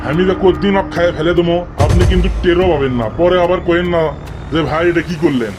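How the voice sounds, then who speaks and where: A man's voice: on the high side, fast, loud music behind the voice, lightly acted. A man voicing a menacing local tough in a comic cartoon, with a northern Bangladeshi accent. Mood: complaining. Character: menacing local male tough guarding his garden. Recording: noisy cartoon soundtrack with clicks.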